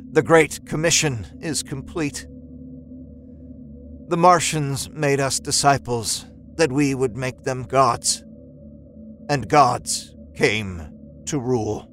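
A man narrates horror in a slow, deliberate and theatrical way. His mid-pitched voice tells a first-person story as a dramatic reading, with a light musical score running underneath. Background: soft musical score.

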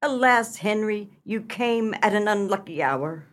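A woman's voice: mid-range voice, lively intonation, unhurried, lightly acted. A woman playing a sorrowful old farm wife and mother in a comic radio melodrama. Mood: anxious, lamenting.

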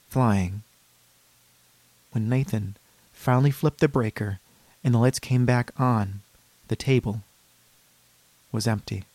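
A man performing a horror story as an eerily calm, dreamy patient, measured and with dramatic pauses. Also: lowish voice, dry recording. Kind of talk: scripted horror story narration.

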